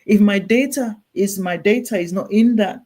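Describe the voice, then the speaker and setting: A woman's voice: medium pitch, normal speed, darkish tone. A woman with a Nigerian accent, a clinical nurse specialist speaking as a guest, explaining earnestly and often restarting her sentences. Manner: earnest, urging, many restarts and repetitions. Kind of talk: earnest explanatory interview talk.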